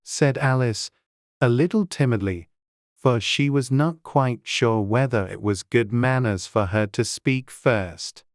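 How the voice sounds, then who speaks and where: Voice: mid-range voice, measured pace, no echo, studio quality. An audiobook narrator reading a dramatized storybook.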